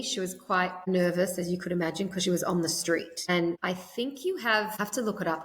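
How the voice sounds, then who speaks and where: A woman's voice: medium pitch, fairly bright tone. A woman, a podcast guest, talking in a chatty, rambling way with lots of fillers and restarts. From Australia. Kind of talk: conversational interview. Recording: echoey room with long reverb.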